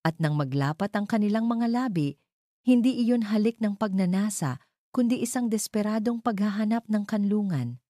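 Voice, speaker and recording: A woman narrating a romantic drama from a script in a measured, emotive storytelling manner. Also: mid-range voice, lively intonation, mellow, no room sound, studio quality.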